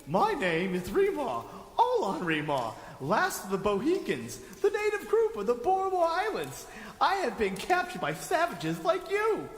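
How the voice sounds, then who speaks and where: A man's voice: very high voice, huge pitch swings, a little slow, exaggerated voice. A man playing a crazy, skinny captive wizard in a comedic audio drama, theatrical and teasing. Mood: dramatic, ominous. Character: crazy skinny bearded captive wizard, eccentric last tribesman. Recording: very echoey, long stone-cell reverb.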